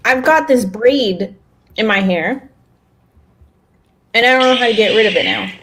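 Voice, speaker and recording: A woman's voice: a little high, measured pace, a bit bright. A woman co-hosting a podcast, chatting in a casual, conversational way. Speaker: podcast co-host.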